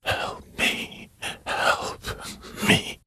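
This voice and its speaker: An actor playing a weary, gentle, guilt-ridden expedition guide in a dramatic video game, with a husky, breathy, hushed and halting delivery. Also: male voice, no echo, full character voice. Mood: desperate pleading. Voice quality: husky, breathy, often near a whisper.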